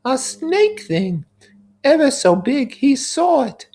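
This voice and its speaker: A man's voice: very high-pitched, sing-song, takes it slowly, darkish tone, voice pushed up, exaggerated voice. A man voicing a small, frightened, earnest little boy in a storybook reading. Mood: anxious, earnest. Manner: childlike, earnest. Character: small frightened little boy's mouthpiece, reporting a beastie.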